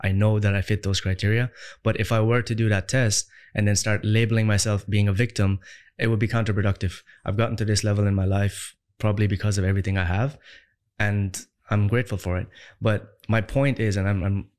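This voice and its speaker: A man's voice: fairly low pitch, steady tone, brisk, darkish tone, clean tone. A young man from Ireland, a business founder, answering interview questions in an emphatic, conversational way that builds into punchy rhetorical questions. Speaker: entrepreneur guest.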